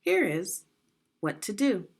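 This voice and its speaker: A woman reading aloud as a teacher for young children, explaining things deliberately and checking in with questions. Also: mid-range voice, sing-song, gravelly.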